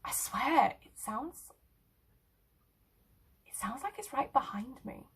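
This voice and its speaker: A woman voicing an excitable, uneasy teenage girl in a short horror story, with hesitant, casual slangy speech. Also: high voice, slow, husky, voice pushed up, heavily acted. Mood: anxious, urgent.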